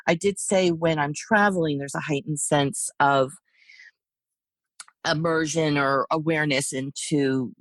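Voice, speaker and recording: A middle-aged woman artist, whose first language is Hungarian, talks reflectively in a podcast interview, animated and full of restarts. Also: sits low, a little slow, dry recording. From United States. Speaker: guest artist.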